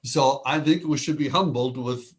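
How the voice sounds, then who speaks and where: A man's voice: mid-range voice, normal speed. A man hosting a video call, introducing guests with eager praise and asking questions. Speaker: host.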